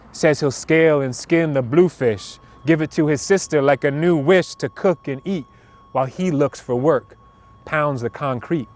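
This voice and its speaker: Male spoken word poet, high-pitched, dramatic, rhythmic, emphatic, outdoor street noise. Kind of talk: performed slam poetry recital. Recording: outdoor recording with clear traffic noise.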